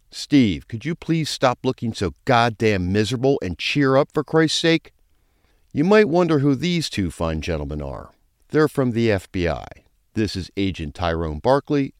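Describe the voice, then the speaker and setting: A man's voice: fairly low pitch, no echo, studio quality. A man playing a brusque, foul-mouthed, sarcastic police chief in a crime audio drama. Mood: brusque, mocking, then scornful, commanding. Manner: brusque, commanding, crude briefing delivery.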